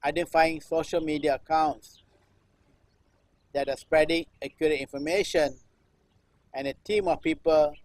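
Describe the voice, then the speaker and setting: A man delivers a recitation as performance art. His voice sits a little high for a man and is captured dry, with almost no room sound. He speaks in deliberate phrases and returns to refrains like a chant. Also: no room sound. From Singapore. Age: 60s. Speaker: performance artist. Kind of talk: live performance recitation of a political text.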